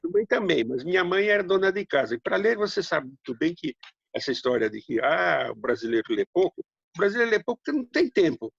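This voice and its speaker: Older writer, native hungarian, slight hungarian-tinged accent, husky gravelly voice, muffled dull sound. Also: male voice, high-pitched, very animated, dry recording. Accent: slight Hungarian-tinged Brazilian Portuguese, heavy R. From Brazil, raised in São Paulo. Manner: unhurried, rambling, frequent tag questions. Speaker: guest writer. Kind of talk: casual interview storytelling.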